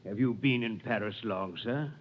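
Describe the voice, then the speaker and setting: An actor playing a cautious middle-aged banker baron, deferential and hesitant, in 1930s radio drama style. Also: male voice, fairly low pitch, normal speed, lightly acted. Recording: tinny old radio broadcast.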